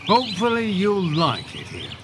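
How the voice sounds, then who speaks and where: Radio play: male actor as wry, welcoming Northern English man, thick dialect, very animated intonation, noisy backdrop. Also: high voice, moderate pace. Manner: dialect-heavy, folksy, drily joking. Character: friendly, wry northern man fetching a hotel guest. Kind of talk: audio drama acting.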